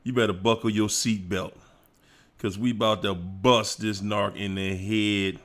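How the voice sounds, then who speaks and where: A man hosting a relaxed livestream. He talks slowly and lets his lines hang. He hypes up the chat in a playful way, with bursts of whoops and sung bits. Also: fairly low pitch. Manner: playful, hypes up chat, sings snippets and laughs. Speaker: livestream host. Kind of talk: casual livestream chat greeting viewers.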